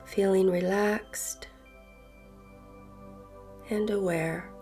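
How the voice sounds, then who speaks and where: A woman leading a guided meditation in a soft voice that turns breathy at phrase ends, gentle and unhurried with long pauses. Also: medium pitch, fairly even intonation. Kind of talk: guided meditation, slow and soothing narration. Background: soft background music.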